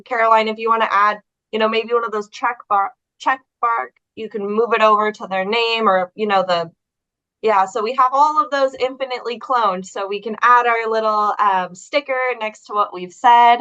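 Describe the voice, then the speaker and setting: A woman moderating a webinar, reading announcements and guiding participants smoothly. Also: on the high side, fairly even intonation, moderate pace, bright, forceful tone. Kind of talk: scripted announcements and guidance. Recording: dull lo-fi webinar audio.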